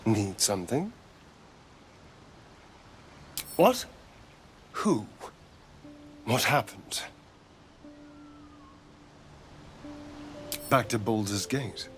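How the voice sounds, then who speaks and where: Theatrical, sardonic vampire with swooping intonation. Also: male voice, on the low side, sing-song, slow pace, soft background music. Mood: alarmed, brooding. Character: sly theatrical male vampire spawn, witty and haunted. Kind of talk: dramatic video game dialogue acting.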